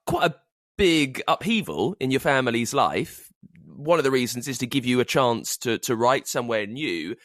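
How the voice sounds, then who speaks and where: A man's voice: medium pitch, fairly bright tone, no room sound, studio quality. A man hosting a conversational interview, feeling his way into questions and rephrasing them as he goes. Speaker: podcast host, interviewer.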